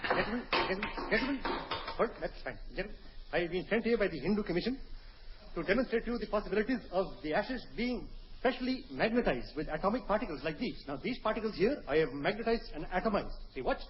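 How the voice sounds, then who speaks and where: A man's voice: sits high, lively intonation, fast. A man playing a pompous, mock-serious scientist in an old radio comedy sketch, declaiming his demonstration. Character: pompous male scientist-official demonstrating absurd inventions. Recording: old noisy dull radio recording, clicks.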